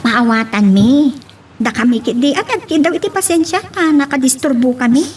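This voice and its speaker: A woman's voice: high voice, animated, normal speed, a little mellow, slight background noise. A woman with an Ilocano accent playing a curious, wary friend in an audio romance drama. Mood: apologetic. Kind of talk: Filipino radio-style romance drama acting.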